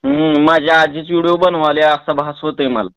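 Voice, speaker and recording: A man with a noticeably high voice and a rural Marathi accent chats on a prank phone call. He coaxes and teases persistently, and the sound is dry and close. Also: fairly even intonation, brisk, fairly bright tone, clear, resonant tone, no room sound. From Tuljapur, Maharashtra, India. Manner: playful, coaxing, pestering. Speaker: prank caller.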